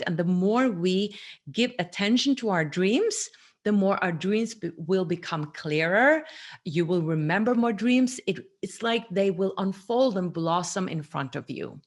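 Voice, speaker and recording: A woman in her forties talking earnestly to camera in a personal teaching monologue, recorded seated in a small room at home. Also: medium pitch. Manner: earnest, gestures a lot with her hands. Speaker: vlogger.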